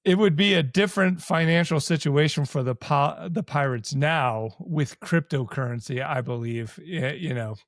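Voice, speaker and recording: A man's voice: fairly high pitch, moderate pace, few pauses, no room sound, clean studio sound. A man hosting a podcast interview, blunt and casual, often chiming in with short interjections. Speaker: podcast host.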